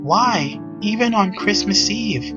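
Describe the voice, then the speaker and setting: A defensive anime schoolgirl, put on by a reader, pitched up high and easily flustered. She is huffy and stammers, in visual novel style with music behind. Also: animated, slow pace, lo-fi sound. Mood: worried, disbelieving. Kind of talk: visual novel character reading.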